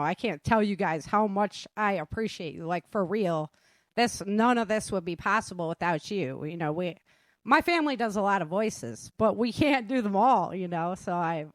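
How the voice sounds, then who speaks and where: A woman hosting a relaxed, conversational interview as an author and producer. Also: mid-range voice, no room sound. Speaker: host, author and producer of an audio drama. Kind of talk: casual interview chat.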